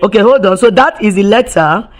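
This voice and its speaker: A woman's voice: mid-range voice, a little fast. Emphatic woman activist firing rhetorical questions. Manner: emphatic, repeats and restarts words, rhetorical questions. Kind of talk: impassioned recorded testimony.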